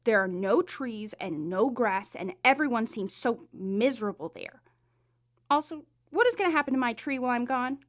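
Woman playing a bubbly, animated tree nymph. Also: a little high, moderate pace, natural voice. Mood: worried. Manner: gushing, rambling, eager. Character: bubbly, scatterbrained young female tree nymph. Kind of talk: audio drama acting.

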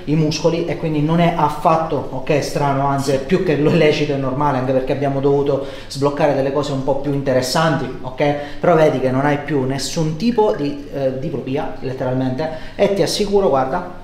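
A man's voice: on the high side, steady tone, moderate pace, a little mellow. A man in his thirties talking a patient through hands-on treatment, reassuring and explanatory, with short instructions. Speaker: chiropractor. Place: indoor home-style treatment room. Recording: roomy echo, noticeable background noise.

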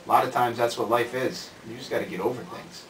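A man's voice: mid-range voice, steady tone, fast, fairly bright tone, close to an everyday voice. A man acting as a casual, mild school counselor in an amateur student film. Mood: dismissive. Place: small office, indoors. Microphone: distant camera microphone. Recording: roomy, distant camera audio.

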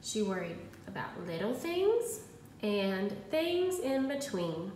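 A woman in her thirties, a kindergarten teacher giving an encouraging read-aloud lesson to young children, pausing after questions. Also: sing-song, a little mellow. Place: indoors at home, small room. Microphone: phone at arm's length. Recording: roomy echo, faint noise and rumble.

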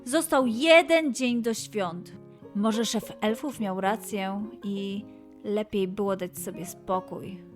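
A woman narrating a scripted children's story in an expressive way, with dramatic pauses and emphasis. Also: medium pitch, soft background music.

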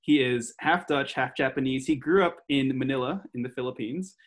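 Earnest young man hosting a podcast. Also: mid-range voice. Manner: friendly, earnest, slightly halting questions. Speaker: podcast host. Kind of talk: interview hosting. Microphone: headset.

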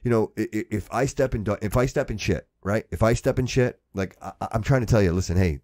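Deep voiced guy hosting a podcast, lots of bro and dude, repeats himself, close dry sound. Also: quick pace, darkish tone, no room sound. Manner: slangy, repetitive, addressing listener as bro and dude. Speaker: podcast host. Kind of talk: casual, emphatic chat.

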